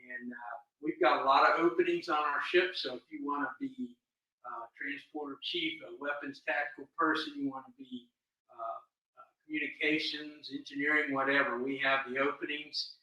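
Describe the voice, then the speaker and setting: Guy chatting casual, bright voice, super echoey, kinda far from the mic. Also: fairly high pitch, normal speed. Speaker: fan club chapter officer, show guest. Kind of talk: casual chat.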